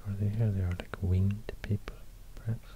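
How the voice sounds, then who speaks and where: A man with a Swedish accent giving soft-spoken, breathy, near-whispered ASMR commentary, unhurried and hesitant, close to a binaural microphone. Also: on the low side, little pitch movement, highs cut off, background noise. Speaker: ASMR video host. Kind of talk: soft-spoken ASMR, casual musing while drawing.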